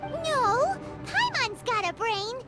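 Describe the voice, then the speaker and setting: A woman voicing a tiny floating childlike girl companion in a video game, squeaky, chirpy, cheeky and excitable. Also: slow, over loud music, full character voice. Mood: indignant. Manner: chirpy, cheeky, refers to herself in third person.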